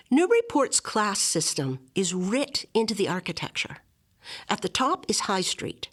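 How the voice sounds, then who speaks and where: A woman reading a literary memoir aloud as scripted narration, with measured, articulate and expressive phrasing. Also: mid-range voice. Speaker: reader of a literary memoir excerpt.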